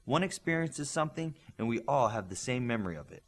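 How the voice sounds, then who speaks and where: A man voicing a brisk, efficient woman teacher in an audiobook. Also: medium pitch, lively intonation, close to an everyday voice. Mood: instructive.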